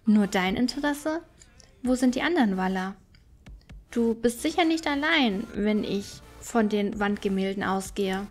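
A woman's voice: medium pitch, lively intonation, a little slow, faint music behind. A woman reading the part of a small, courteous, inquisitive fox-like sage in a fantasy game, with polite, formal phrasing.

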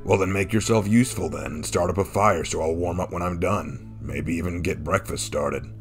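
A man voicing a stoic, blunt wandering warrior with a gruff way of talking, in a fantasy story. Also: low-pitched, normal speed, faint music behind. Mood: blunt, dry. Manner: blunt, terse, calm.

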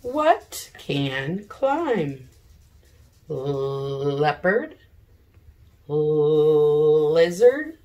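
A middle-aged woman, an elementary teacher leading a read-aloud lesson for young children, speaking deliberately, sounding out words and asking questions. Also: deep voice, sing-song, a little mellow. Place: living room at home, seated in an armchair.

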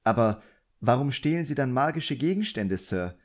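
A man's voice: mid-range voice, animated, normal speed, pitched above the performer's own voice, lightly acted. Casting: shy boy wizard's apprentice for an audiobook, polite, hesitant, deferential, read by a man. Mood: cautious. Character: timid, polite young boy sorcerer's apprentice.

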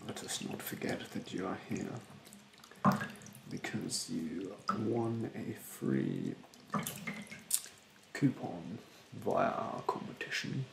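A young man playing a rude, snobbish facial therapist in a soft-spoken, often whispered ASMR roleplay, with a haughty, halting delivery. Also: deep voice, huge pitch swings, gravelly, reverberant, noisy background, exaggerated voice. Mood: disdainful, condescending. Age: young adult. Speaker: ASMR performer. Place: small bedroom, indoors. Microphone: close to the microphone.

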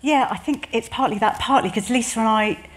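A woman executive producer speaking on a live panel in a measured, dry way. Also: a little high. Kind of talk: casual panel talk. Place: stage before a live audience.

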